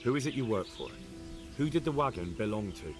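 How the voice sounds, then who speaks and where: An earnest young medieval soldier played by a man in a video game. His voice is on the low side and measured, with faint music underneath. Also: expressive, natural voice. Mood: firm, calm. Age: young adult.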